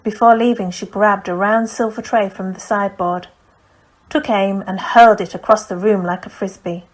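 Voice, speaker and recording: Woman, audiobook narrator, scripted novel reading, mid-range pitch. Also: fairly even intonation, normal speed, poor audio.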